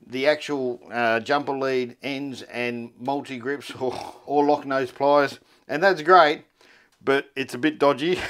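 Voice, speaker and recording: A man with an Australian accent and a mid-pitched voice narrates a practical tutorial. He explains things informally, step by step. His wording is colloquial and his way of talking is laid-back. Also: a bit bright. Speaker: presenter of a four-wheel-drive repair tutorial.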